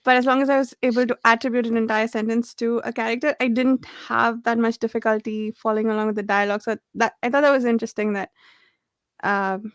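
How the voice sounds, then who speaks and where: Higher-voiced woman chatting about books, dry sound. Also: fairly even intonation, quick pace, dry recording. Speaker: book discussion panelist. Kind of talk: casual book discussion.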